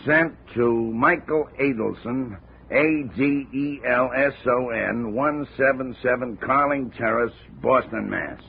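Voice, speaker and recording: An actor playing a chatty insurance contact in an old radio drama. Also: male voice, medium pitch, slow, vocal fry, slight background noise. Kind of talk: 1950 radio drama acting. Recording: tinny old radio broadcast.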